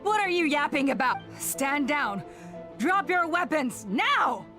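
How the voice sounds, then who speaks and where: A haughty warrior woman from a fantasy game. Her voice is very high and swings widely, spoken at a measured, slow pace with proud, commanding drama. Music plays behind her. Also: very animated, full character voice. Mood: commanding, defiant. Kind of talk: video game voice acting.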